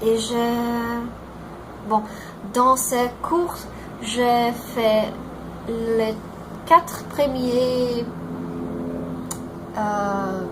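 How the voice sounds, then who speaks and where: A Brazilian woman in her twenties with a Brazilian Portuguese accent, introducing herself in a learned language and pausing often to search for words. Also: a little high, fairly even intonation, noisy background. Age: 20s. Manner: halting, searching for words, many fillers and restarts.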